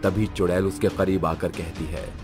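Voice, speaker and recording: A man's voice: low-pitched, fairly even intonation, moderate pace, over music. A man narrating a scripted horror story as its storyteller.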